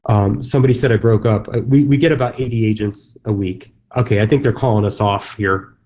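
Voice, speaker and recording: A man's voice: on the low side, fairly even intonation, fairly quick, a little mellow. A man who works as a real estate agent, speaking casually at a conference session, loose with fillers and restarts. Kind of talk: conference stage talk.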